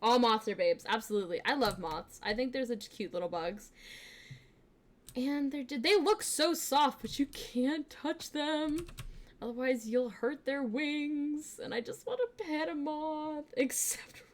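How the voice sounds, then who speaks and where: A young woman streamer chatting casually with her viewers, bubbly and giggly, with lots of fillers, switching between quiet asides and excited greetings. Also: a little slow, a little hiss or hum. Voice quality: fairly deep voice for a woman. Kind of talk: casual live-stream chat.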